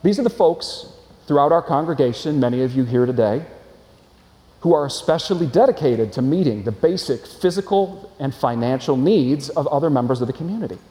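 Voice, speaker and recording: A man's voice: fairly high pitch, animated, a little fast, mellow. A man preaching a sermon as pastor, earnest and explanatory, asking his congregation rhetorical questions. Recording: long hall reverb.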